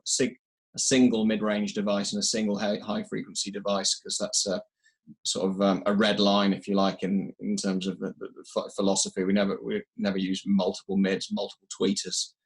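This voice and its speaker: Man, low even pitch, slightly rough voice, hesitant explanatory delivery, technical interview. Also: fairly quick, rough recording. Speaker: audio company representative being interviewed.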